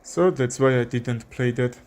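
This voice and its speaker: A young man with an Armenian accent commentating his own chess game, thinking aloud with frequent fillers and pauses. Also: medium pitch, a little mellow. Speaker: chess streamer. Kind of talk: live commentary while playing chess.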